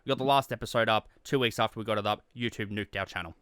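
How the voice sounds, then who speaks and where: A man hosting a relaxed interview, chatting casually and putting questions to his guest. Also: lowish voice, very fast, clean tone, no room sound, clean studio sound. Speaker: interviewer and series host.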